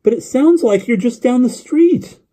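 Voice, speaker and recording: Man as young boy, lonely, eager, guileless, rambling, childlike high pitch, lively intonation, audiobook character. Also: normal speed. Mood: puzzled. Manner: guileless, rambling, repetitive, full of questions.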